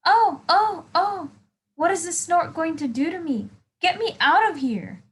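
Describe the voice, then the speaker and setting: Woman as a storybook baby bird, high voice, earnest, pleading, lost and determined. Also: expressive, a little slow. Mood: frightened pleading. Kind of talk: storybook read-aloud.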